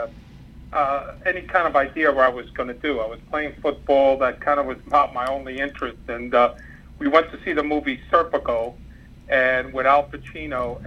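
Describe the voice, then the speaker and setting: Cuban-born man on a muffled phone line. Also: mid-range voice. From Cuba. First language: Spanish. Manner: rambling, many fillers and restarts. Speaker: former undercover FBI agent, guest. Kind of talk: interview, recounting career.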